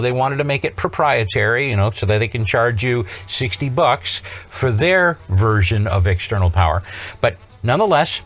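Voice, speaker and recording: A man with a medium-low voice talks through a hands-on demonstration as the presenter. His delivery is informal and explanatory, sprinkled with dry, wry asides, and sounds unscripted. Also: a bit bright. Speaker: host, electronics repair tutorial presenter.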